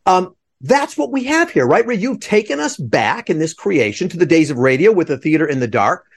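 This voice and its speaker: Animated, fast-talking man hosting an interview. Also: fairly high pitch, husky. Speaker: podcast host interviewing theater people. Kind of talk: conversational interview.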